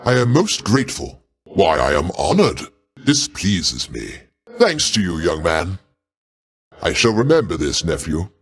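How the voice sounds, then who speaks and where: Older male god, proud and paternal, theatrical game voice, pompous old-fashioned diction, extremely animated intonation. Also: deep voice, a little slow. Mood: gracious. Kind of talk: theatrical video game voice lines.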